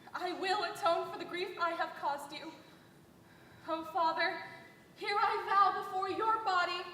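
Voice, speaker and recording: A woman acts out a young daughter who mourns her father and pleads for true love, declaiming every line. Her voice is very high and bright. It echoes through a hall, picked up from far away. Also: slight background noise. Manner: melodramatic, declaiming. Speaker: stage actor. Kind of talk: stage play performance.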